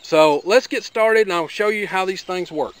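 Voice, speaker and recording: A man's voice: sits high, normal speed, a bit bright, clear, resonant tone, poor audio. A middle-aged man with an Appalachian accent, talking in a folksy, plainspoken, rambling way as he demonstrates something outdoors by his garden. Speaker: homesteader vlogger.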